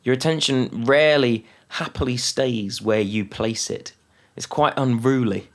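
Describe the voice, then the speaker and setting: Guy with a gravelly voice talking you through a breathing meditation, calm and slow, lots of pauses. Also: medium pitch. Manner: calm, unhurried, with long pauses between phrases. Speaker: meditation guide. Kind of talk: guided mindfulness meditation.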